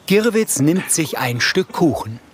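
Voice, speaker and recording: A man's voice: on the high side, very animated, unhurried, slight background noise. A man reading scripted descriptive narration for a crime drama.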